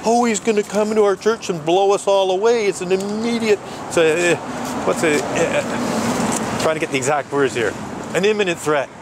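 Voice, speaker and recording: A man protesting outdoors, talking in an animated, indignant way and laughing often. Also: high-pitched, normal speed. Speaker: street protester. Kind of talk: casual outdoor conversation. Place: outdoors on a city street. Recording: outdoor traffic noise.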